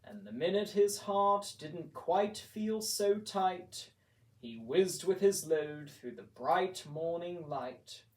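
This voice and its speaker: A man reading a rhyming storybook aloud in a measured, theatrical, vintage horror-actor style. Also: high-pitched, animated. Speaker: storybook narrator. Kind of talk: dramatic read-aloud imitating vintage horror-actor narration. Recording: roomy echo, distant from microphone.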